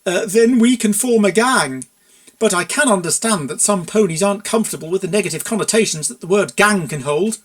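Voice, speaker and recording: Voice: mid-range voice, animated, brisk, pitched above the performer's own voice, lightly acted. A storyteller voicing a smarmy stallion image consultant from a cartoon pony world, nervous and condescending, with forced cheer.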